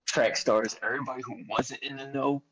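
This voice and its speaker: A man with a Philadelphia accent, a podcast guest telling a story in casual chat, laid-back but animated as he acts out what people said. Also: mid-range voice, brisk, crowd noise, poor audio.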